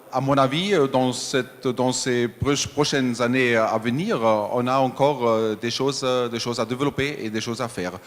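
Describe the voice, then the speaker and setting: A man who is not a native French speaker, giving a halting acceptance speech full of fillers and restarts. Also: medium pitch. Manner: hesitant, many fillers and restarts. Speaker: award winner. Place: large exhibition hall. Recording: hall reverb.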